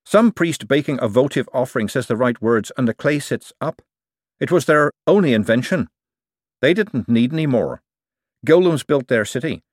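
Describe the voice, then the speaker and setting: A man voicing a brisk, stubborn, self-assured woman who explains things insistently in an audiobook story. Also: medium pitch, expressive, dry recording, studio quality, voice pushed up, lightly acted. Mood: lecturing, impatient.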